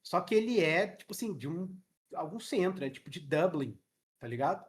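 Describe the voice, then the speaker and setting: A man's voice: a little high, animated, normal speed. Man, Brazilian accent, English teacher, casual live class, joking slangy talk, headset mic. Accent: Brazilian Portuguese.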